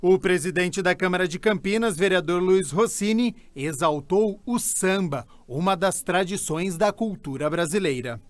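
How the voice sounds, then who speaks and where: A man with a Brazilian accent reading scripted news narration in an even, formal way. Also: high-pitched, normal speed, hardly any pauses, dry recording. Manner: even, formal newsreader delivery. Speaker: news reporter.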